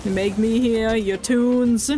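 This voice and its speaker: Slow-talking woman streaming a game. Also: a little high, steady tone, mellow. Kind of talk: casual livestream game commentary. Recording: game music behind the voice.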